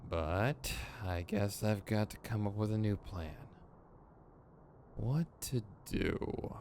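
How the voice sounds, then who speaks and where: Over noticeable background noise, a man acts out a male villain for an audio roleplay, exasperated and sardonic yet protective at heart. The pitch swings widely from line to line while the pace stays a little slow. The delivery is conversational and emphatic. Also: lively intonation, darkish tone. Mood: resigned, wry. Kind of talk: ASMR roleplay acting.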